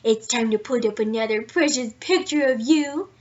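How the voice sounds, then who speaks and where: A doting, quirky dog owner from a storybook, voiced by a woman, gushes in a high, exaggerated sing-song. The folksy fondness is laid on thick. The recording sounds slightly dull and homemade. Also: normal speed, darkish tone, natural voice. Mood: gushing.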